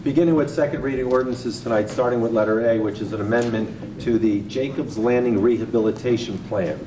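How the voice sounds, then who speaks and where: A man chairing a council meeting, reading procedural motions in a brisk, routine way, recorded in a large council chamber. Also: mid-range voice, fairly even intonation. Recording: echoey chamber, far mic, background noise.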